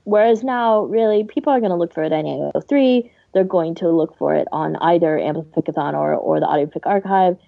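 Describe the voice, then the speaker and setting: A woman's voice: fairly low pitch, fairly quick, vocal fry, few pauses, dry recording. A woman co-hosting a podcast in a casual discussion style, on slightly lo-fi audio. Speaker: podcast co-host reading listener questions.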